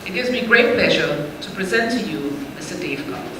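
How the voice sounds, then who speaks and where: A woman's voice: fairly low pitch, expressive, moderate pace. A woman formally reading aloud an introduction of the next speaker. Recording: echoey hall, faint noise.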